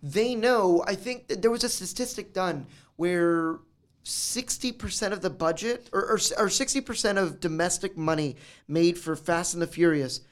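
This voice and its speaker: A man with a notably high voice, talking in a loose, casual discussion. He is animated and keeps acting out little quoted exchanges as he makes his points. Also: moderate pace. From United States.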